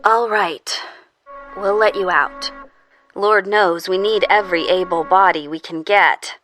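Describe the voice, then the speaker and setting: A woman plays a guarded official at a secure facility in an audio drama. She sounds businesslike and measured, slightly hesitant, at a middling pitch, with music behind her. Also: steady tone, a bit bright, lightly acted. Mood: resigned.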